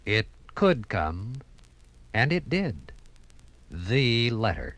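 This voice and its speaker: A man narrating a 1950s radio documentary in a measured, dramatic style. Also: lowish voice, very animated, fairly bright tone, dry recording.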